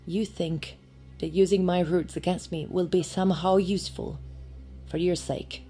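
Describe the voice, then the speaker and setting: An actress playing a vengeful, scarred young killer who speaks quietly and coldly in an audio drama. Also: female voice, lowish voice, steady tone, normal speed, faint music behind, close to an everyday voice. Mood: cold menace. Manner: quiet, cold, threatening.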